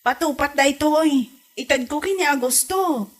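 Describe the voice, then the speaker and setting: A woman's voice: high voice, normal speed, natural voice. A woman with an Ilocano accent playing a pretty, outspoken young village maiden in a comedy audio drama, frank and girlish. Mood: scolding. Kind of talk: amateur Ilocano comedy radio drama acting.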